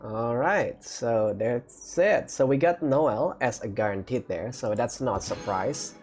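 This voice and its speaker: A man's voice: mid-range voice, measured pace, darkish tone, faint music behind. A man hosting a gaming video, giving casual, off-the-cuff commentary in short reacting phrases.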